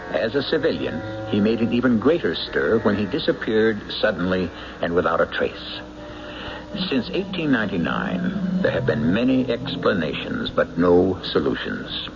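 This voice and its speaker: An actor as the composed, wry American host of a radio mystery, narrating in a measured, formal, theatrical way. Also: male voice, low voice, big pitch swings, vocal fry, full character voice. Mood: wry, intriguing. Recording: muffled old radio broadcast with music.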